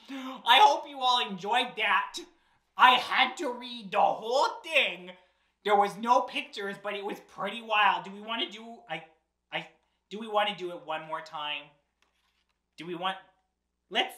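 Drag queen doing kids storytime, bright punchy voice, big playful over the top delivery, bit of room echo. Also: medium pitch. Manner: theatrical, exaggerated, playful delivery. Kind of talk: animated children's read-aloud and chatty presenting. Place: small indoor set, seated in an armchair. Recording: roomy indoor echo.

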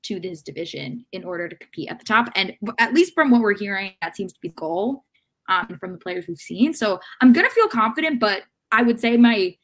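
A woman with a mid-range voice discusses soccer as a podcast co-host. She feels her way through her points in a measured, hesitant manner, talking from a room at home over a video call. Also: mid-range voice, expressive.

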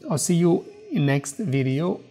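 Man with a mellow voice explaining software. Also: fairly high pitch, animated, measured pace, faint music behind. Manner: explanatory, repeats "okay" and "as you can see". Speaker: tutorial presenter. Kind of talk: screen-recorded software tutorial.

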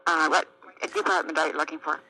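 A woman's voice: low-pitched, fairly even intonation, quick pace, pushed and bright, no echo, poor audio. A woman answering a retail store's phone in a brief, businesslike way. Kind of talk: customer service phone call.

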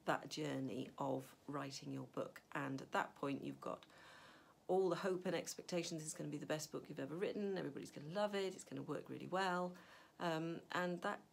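A middle-aged woman with a low voice and a gravelly edge shares writing advice from her home study. She talks casually to the camera, thinking aloud with fillers and restarts. A faint hum sits under the recording. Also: a little fast. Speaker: novelist. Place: home study with a map on the wall.

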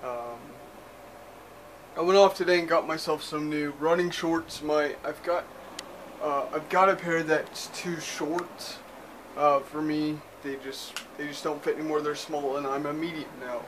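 A young man chats in a loose, rambling way, full of ums and uhs, in a voice pitched a little high for a man. He holds the camera at arm's length while walking around a small spare bedroom indoors, with faint room ambience behind him. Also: fairly even intonation, a little slow, background noise. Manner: rambling, filler-heavy, loose and chatty. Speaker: vlogger. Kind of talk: casual vlog chat. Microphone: handheld camera at arm's length, moving around.

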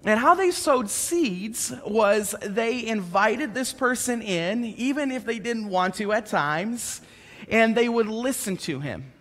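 A man in his thirties preaching a sermon on a church stage, conversational and animated as he tells personal stories. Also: sits high, takes it slowly. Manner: conversational and animated, gesturing while pacing the stage. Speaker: pastor. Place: church stage, large indoor room. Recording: reverberant church hall.

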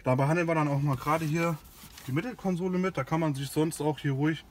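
A man's voice: on the high side, fairly even intonation, quick pace, a little mellow, a little hiss or hum. A man giving a casual product demonstration as a car care host, informal and a little hesitant while he works. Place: inside and beside a car in a garage.

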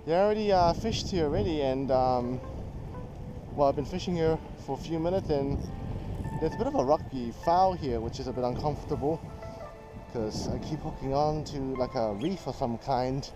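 A man from New Zealand giving casual, excitable running commentary while fishing outdoors on a rocky jetty, recorded on a head-mounted action camera. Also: a little high, normal speed, music in the background, poor audio. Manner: chatty, excitable, thinks aloud. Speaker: fishing vlogger.

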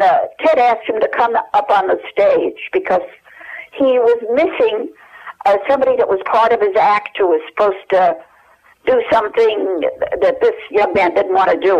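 An older woman reminiscing as an interview guest over the telephone, in a rambling, hesitant conversational way. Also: medium pitch. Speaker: interview guest sharing family memories. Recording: dull telephone line.

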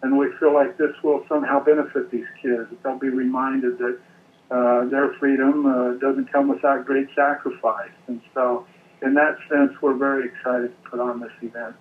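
An American man in his forties giving an earnest, measured interview over the telephone as an event organizer. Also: mid-range voice, flat delivery. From United States. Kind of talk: phone interview. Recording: noisy, narrow phone line.

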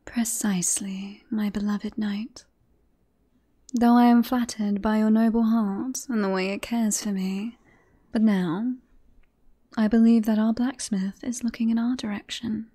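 A woman playing a teasing, self-assured queen in a romantic fantasy ASMR roleplay, with breathy, formal courtly phrasing. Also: mid-range voice, steady tone, a little slow, warm tone. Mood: tender, confident.

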